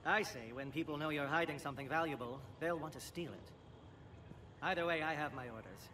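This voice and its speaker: A man playing a brisk amphibian alien military officer in a video game, speaking in a clipped, formal, dry way. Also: a little high, bright, forceful tone. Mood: brisk, wry. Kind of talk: video game cutscene acting. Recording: faint background noise in game capture.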